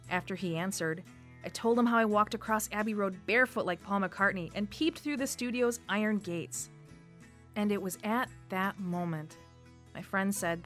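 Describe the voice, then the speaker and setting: A woman's voice: lowish voice, fairly bright tone. A woman in her thirties from Wisconsin with a Wisconsin accent, reading a personal story for radio in a reflective way. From Wisconsin, USA. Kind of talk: scripted first-person narration. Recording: faint music behind voice.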